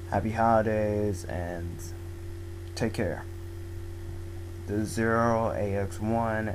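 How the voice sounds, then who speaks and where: Young male vlogger, voice going hoarse, slow rambling delivery, home mic with a faint hum. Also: fairly low pitch, low-quality recording. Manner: rambling, filler-heavy, restarts often. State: losing his voice. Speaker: manga video blogger. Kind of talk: casual spoken manga review. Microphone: home microphone.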